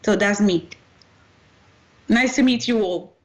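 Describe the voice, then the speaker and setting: A woman's voice: medium pitch, slow, darkish tone. Earnest Cuban-born woman coach. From Cuba. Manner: earnest, repeats and restarts phrases. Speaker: personal development coach. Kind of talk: webinar presentation.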